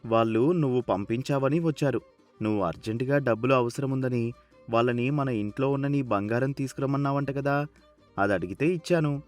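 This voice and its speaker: A man's voice: medium pitch, brisk, darkish tone, faint music behind, close to an everyday voice. A man playing a naive, childlike grown son who speaks in an innocent, plaintive way in a dramatized story. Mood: innocent, explaining.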